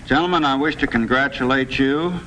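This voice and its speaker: A man speaks as an official at a police swearing-in, in a formal and deliberate way. His voice sits at an average pitch, and the old 1960s film soundtrack adds some light noise. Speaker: official administering a police oath. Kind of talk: formal ceremonial address.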